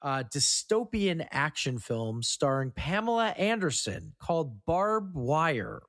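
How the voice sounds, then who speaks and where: A man hosting a casual film podcast, running through trivia in a halting way and stumbling over words now and then. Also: a little high, big pitch swings, a bit bright, no room sound, clean studio sound. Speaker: podcast host. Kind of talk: casual film trivia rundown among co-hosts.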